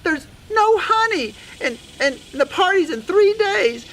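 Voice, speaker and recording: Man putting on a high, fretful lady mouse. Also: big pitch swings, a little slow, fairly bright tone, a little hiss or hum, heavily acted, poor audio. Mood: flustered, fretful. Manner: fretting, worried, busy. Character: fretful, hurried female mouse in a children's story.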